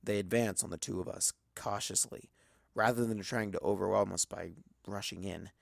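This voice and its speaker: A man's voice: lowish voice, steady tone, moderate pace, vocal fry, no echo, studio quality. A man reading a story aloud as a dramatic first-person narration.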